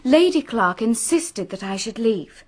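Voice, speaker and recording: A woman's voice: a little high, animated, a little slow, husky. An actress playing a composed, candid young Englishwoman in a radio drama, speaking formally and politely in received pronunciation. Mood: wounded, composed.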